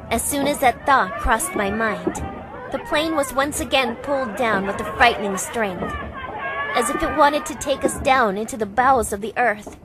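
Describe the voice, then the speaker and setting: A woman's voice: on the high side, moderate pace, music in the background, a crowd in the background, lightly acted. A woman playing a composed, caring young woman who narrates her own story in a visual novel fan dub. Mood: tense, descriptive.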